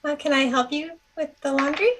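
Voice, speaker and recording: A woman's voice: high-pitched, fairly even intonation, brisk, a bit bright, slight background noise. A woman chatting casually as a player in a tabletop game, speaking in a tentative, conversational way.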